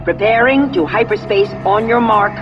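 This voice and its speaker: Woman acting calm starship tactical officer, muffled. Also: medium pitch, moderate pace, music in the background, close to an everyday voice. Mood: calm, serious. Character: calm female starship intelligence officer giving tactical advice. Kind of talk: sci-fi video game acting.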